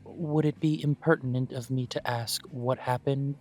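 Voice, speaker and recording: A man voicing a courteous elven coffee shop owner who speaks in a polite, formal, gently self-deprecating way, with soft music playing behind him. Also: medium pitch, fairly even intonation, moderate pace, natural voice. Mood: gracious. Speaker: shop owner interviewing a job applicant. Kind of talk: scripted one-sided roleplay audio.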